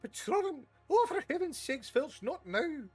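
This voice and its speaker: Male narrator putting on imperious elderly witch, high voice, clipped commands. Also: very animated, fairly quick, faint music behind, exaggerated voice. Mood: exasperated. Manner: clipped, imperious, shouting orders. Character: stern elderly female witch professor, commanding.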